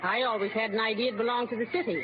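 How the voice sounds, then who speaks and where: Woman playing a wry, high-voiced radio wife. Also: steady tone, rapid-fire, noisy background, natural voice. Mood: wry, dry. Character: plain-spoken, wry, homely wife of a bumbling official. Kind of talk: 1940s radio comedy acting. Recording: muffled old radio recording, crowd behind.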